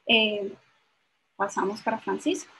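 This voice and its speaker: A woman's voice: medium pitch, measured pace, bright, forceful tone, slightly rough, rough recording. A young adult woman presenting an explanatory academic lecture over a video call, often adding short checking tag questions. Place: indoor room on a video call. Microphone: video call microphone.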